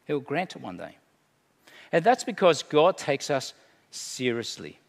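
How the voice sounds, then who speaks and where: A middle-aged man preaching a sermon, emphatic, swinging between hushed and loud delivery. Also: fairly high pitch, big pitch swings, unhurried, vocal fry. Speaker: pastor. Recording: long hall reverb.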